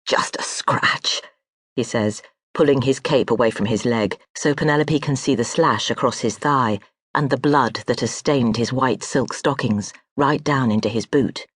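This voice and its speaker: An audiobook narrator reading historical fiction in a measured, even storytelling manner. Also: medium pitch, husky, no echo. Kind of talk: scripted literary narration. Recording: dry close studio audiobook.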